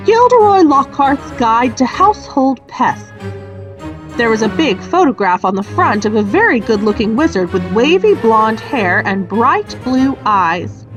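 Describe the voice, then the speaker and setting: A woman narrating a children's story aloud in an expressive, scripted storybook style. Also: mid-range voice, lo-fi sound. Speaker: audiobook reader.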